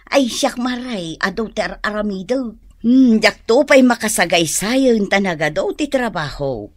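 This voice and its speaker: A woman's voice: mid-range voice, lively intonation, a little slow, dry recording. A woman playing a boastful, teasing neighbour in a comedy radio drama, with an Ilocano accent and a sing-song drawl.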